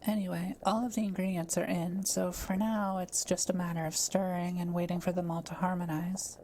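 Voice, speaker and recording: A kind forest witch, played by a woman, speaks in a slightly low voice with plenty of vocal fry. She is gentle and patient, explaining like a scholar in an intimate audio roleplay. Faint background noise sits behind her. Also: close to an everyday voice, low-quality recording. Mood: gentle, reassuring.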